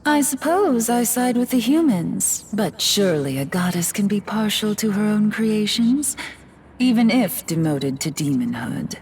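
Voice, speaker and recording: A woman's voice: mid-range voice, expressive, measured pace, slight background noise. A woman playing a poised, teasing goddess turned demon in a video game, refined and coolly condescending, over music. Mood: amused, earnest. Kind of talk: dramatic video game voice acting. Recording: loud game music underneath.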